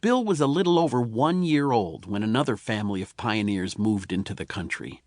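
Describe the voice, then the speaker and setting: A man narrating a children's tall tale as an audiobook, in an expressive, folksy storytelling manner. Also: fairly low pitch, brisk, dry recording, clean studio sound.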